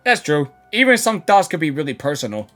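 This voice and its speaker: A woman game streamer giving casual, rambling, excitable live reactions. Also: low-pitched, brisk. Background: game music.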